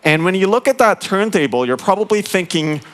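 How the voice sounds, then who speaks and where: A man from Finland, giving a conference talk in an explanatory, halting way, with many fillers and direct appeals to the audience. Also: high-pitched, expressive, a bit bright. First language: Finnish. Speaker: conference speaker, interaction design director.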